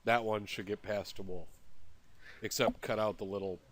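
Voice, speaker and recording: A man with a deep voice hosting a live concept art class, chatting and joking in a relaxed, animated way with his team. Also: normal speed. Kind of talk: casual group chat on a livestream.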